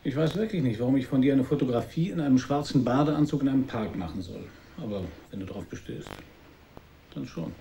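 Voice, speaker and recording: An actor playing a detached, brooding narrator in a literary radio drama, deliberate and at times hushed. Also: male voice, mid-range voice, animated, vocal fry, in a large hall, slight background noise. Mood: subdued. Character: detached, brooding male narrator of a broken romance.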